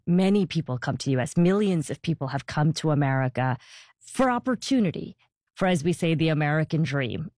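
Lively woman host, dry studio voice. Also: fairly low pitch, no echo. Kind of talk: radio interview.

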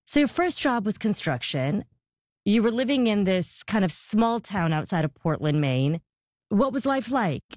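A woman's voice: mid-range voice, very animated, measured pace, a little mellow, dry recording, studio quality. A woman hosting a radio interview, asking probing questions. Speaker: host.

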